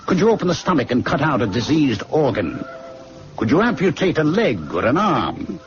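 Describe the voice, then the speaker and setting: Sly, lively-voiced young medical man, muffled recording. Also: a little high. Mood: needling. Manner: needling, bantering, drawn-out questioning. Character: sly, teasing, confident young medical man recruiting a newcomer. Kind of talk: old-fashioned radio drama acting. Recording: dull lo-fi radio recording, music.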